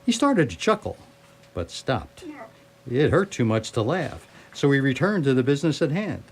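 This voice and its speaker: A man's voice: medium pitch, very animated, moderate pace, husky. A man narrating a radio play in a theatrical, wry storytelling manner.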